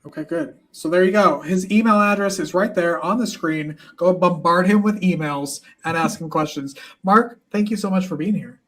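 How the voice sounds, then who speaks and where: High-voiced man hosting a casual chat. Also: moderate pace, hardly any pauses, fairly bright tone. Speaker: podcast host.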